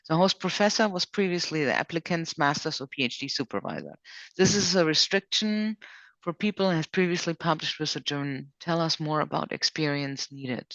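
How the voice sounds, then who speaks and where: A woman's voice: sits low, a bit bright, dry recording. A woman presenting a programme in an informal online question session, reading questions out and explaining rules step by step. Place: online video conference call. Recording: dull online-call audio.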